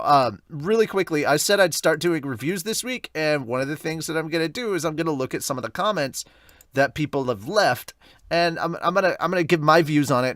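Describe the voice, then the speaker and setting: Guy podcast host, casual review chat, bright lively voice, very dry close sound. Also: on the high side, no echo.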